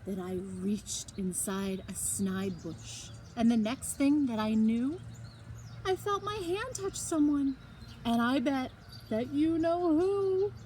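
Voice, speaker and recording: A woman reading a children's story aloud expressively, with dramatic emphasis and drawn-out words, like a librarian at story time. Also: high voice, lively intonation, warm tone, slight background noise, low-quality recording.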